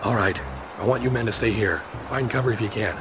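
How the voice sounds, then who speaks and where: An actor playing a terse, authoritative special agent in clipped video game cutscene dialogue. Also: male voice, on the low side, fairly quick, noisy background, natural voice. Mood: terse, controlled. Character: terse, commanding male special agent leading stranded soldiers.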